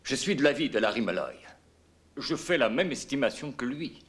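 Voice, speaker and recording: A man's voice: medium pitch, big pitch swings, moderate pace, a bit bright. A man acting a disapproving space agency official in a dubbed docudrama, formal in manner. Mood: appalled.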